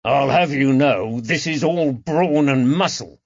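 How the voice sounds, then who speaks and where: A man's voice: medium pitch, measured pace, dry recording, highs cut off, pitched above the performer's own voice, natural voice. A man voicing a burly, blunt and touchy constable in an audiobook, indignant and blustering. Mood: affronted. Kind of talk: audiobook character dialogue.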